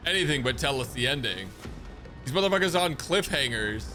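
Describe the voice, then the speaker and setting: Bright-voiced irreverent man streaming. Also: mid-range voice, expressive, a little fast. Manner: irreverent, blunt, sweary. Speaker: game streamer. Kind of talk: casual live reaction commentary. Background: faint game music.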